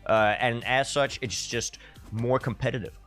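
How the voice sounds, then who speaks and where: A man talks casually on a live esports stream. He slips between chatting to viewers and calling the race, in a dry, tongue-in-cheek way. His pitch is average, and music plays behind him. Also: unhurried, fairly bright tone. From Denmark. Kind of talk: casual live stream chat with game commentary.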